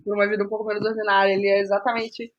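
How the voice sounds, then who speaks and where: A middle-aged Brazilian woman speaking as an interview guest, reflective and halting, qualifying her own words as she goes. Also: medium pitch, flat delivery, lo-fi sound. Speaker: guest playwright.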